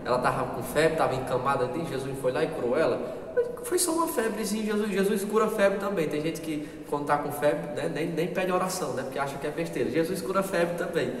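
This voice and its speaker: A man's voice: on the high side, lively intonation, fast, a little hiss or hum. Brazilian man teaching in an echoey church. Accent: Brazilian Portuguese. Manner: earnest, repeats himself, questions the listeners. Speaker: Sunday school teacher. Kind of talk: church Bible lesson. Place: church hall. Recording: echoey hall, distant mic, faint ambience.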